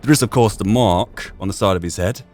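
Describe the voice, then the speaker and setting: A man hosting a gaming playthrough, musing aloud in a thoughtful, halting commentary. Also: fairly low pitch, darkish tone, faint music behind. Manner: thoughtful, halting, thinking through asides. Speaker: gaming video host.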